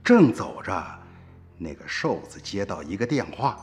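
A man playing an earnest older countryman who tells his family a tale in a folksy, animated radio drama narration. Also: deep voice, unhurried, soft background music. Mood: reminiscing.

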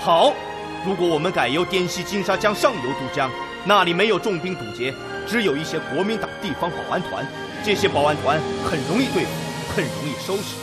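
An actor playing a resolute, emphatic wartime Red Army general in a radio drama. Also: male voice, high-pitched, expressive, a little fast, music in the background. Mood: measured, decisive. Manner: emphatic, repeats orders loudly. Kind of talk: Chinese radio drama acting.

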